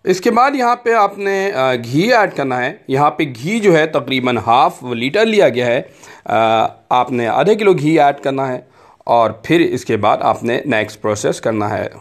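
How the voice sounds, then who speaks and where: A man's voice: medium pitch, animated, measured pace. A man hosting a cooking lesson, explaining a recipe step by step and mixing in English kitchen words. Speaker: host of a cooking recipe video. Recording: clear background noise, cooking ambience.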